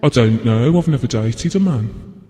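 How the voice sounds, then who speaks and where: An actor playing a gentle, shy, middle-aged widowed father in an audio play, wistful and evasive. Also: medium pitch, expressive, measured pace, soft background music, natural voice. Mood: wry, gentle. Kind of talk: short audio play dialogue. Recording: long hall-like reverb.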